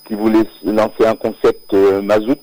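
A singer from Martinique, born near Paris, talking as a guest on a live radio show, hesitant, with many fillers and restarts and frequent laughs. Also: male voice, on the low side, level tone, normal speed, warm tone, no echo, low-quality recording. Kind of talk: live radio interview, casual chat. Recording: radio broadcast audio.

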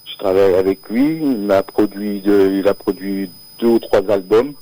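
A singer from Martinique, born near Paris, talking as a guest on a live radio show, hesitant, with many fillers and restarts and frequent laughs. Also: male voice, lowish voice, steady tone, normal speed, warm tone, rough recording. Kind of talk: live radio interview, casual chat. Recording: radio broadcast audio.

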